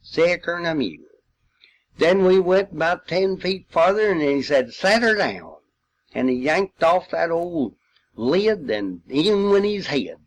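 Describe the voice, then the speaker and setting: Older male veteran, rural Missouri accent. Higher-pitched voice, very unhurried, folksy storyteller. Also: animated, poor audio. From Missouri, United States. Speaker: World War II veteran storyteller. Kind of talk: rambling personal reminiscence.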